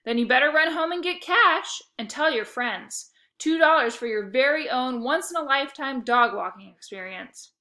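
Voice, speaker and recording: A woman's voice: sits high, expressive, normal speed, few pauses, pushed and bright, voice pushed up, exaggerated voice. A woman voicing a cocky schoolboy hustler who talks in a brash, salesy way.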